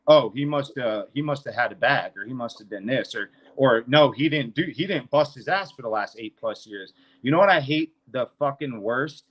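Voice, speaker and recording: Man, 30s, mid-range voice. Unscripted, emphatic talk with plenty of swearing and self-promotion. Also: medium pitch. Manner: emphatic, profane, boastful. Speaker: financial consultant and podcast speaker.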